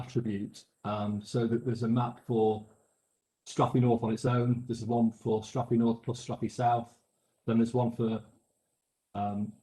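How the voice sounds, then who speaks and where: A man's voice: medium pitch, dark tone, echoey room, rough recording. Hesitant man giving expert testimony. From England. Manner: measured, hesitant with frequent restarts. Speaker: expert witness. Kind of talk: inquiry testimony.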